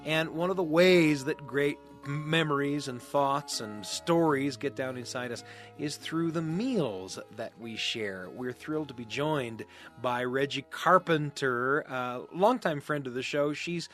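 A man's voice: mid-range voice, a little slow, fairly bright tone. Male interview host, conversational, mid-range voice, music underneath. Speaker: radio show host.

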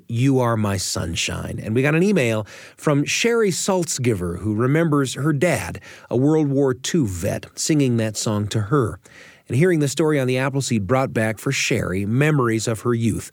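American man hosting radio, animated delivery. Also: mid-range voice, no room sound, clean studio sound. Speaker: radio show host. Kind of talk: scripted narration for a storytelling radio show.

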